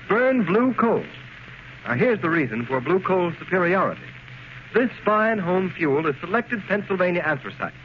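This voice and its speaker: A man delivering a polished, emphatic 1930s radio commercial as announcer. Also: sits high. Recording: muffled, noisy 1930s radio recording.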